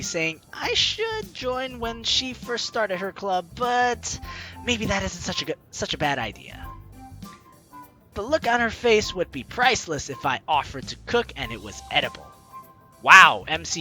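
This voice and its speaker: A young woman with a bright, forceful voice and very lively intonation. She reads game text theatrically and drops in playful, chatty commentary. Faint music sits behind her. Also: mid-range voice, normal speed. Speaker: gaming YouTuber, host. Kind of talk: let's play, reading game text aloud with commentary.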